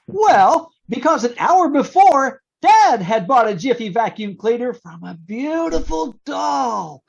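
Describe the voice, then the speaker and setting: A squeaky, high-pitched teenage boy who is cheeky and gushing. His delivery is exaggerated and drawn out, swinging widely in pitch, as in vintage radio comedy. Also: big pitch swings, takes it slowly, vocal fry, husky, highs cut off, full character voice. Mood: excited. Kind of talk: old-time radio comedy acting. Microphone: video call.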